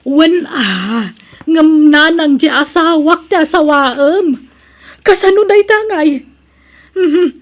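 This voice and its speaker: A woman plays a frank younger woman in an Ilocano comedy drama. She speaks in a high voice with an Ilocano accent, advising her elder. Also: expressive, slow pace. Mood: remonstrating.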